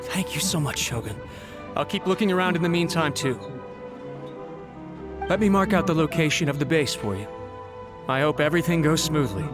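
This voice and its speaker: A man voicing a loyal, worried servant in a video game, earnest, deferential and quick to belittle himself. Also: a little high, lively intonation, close to an everyday voice. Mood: grateful. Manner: earnest, self-deprecating. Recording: game music behind the voice. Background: game music.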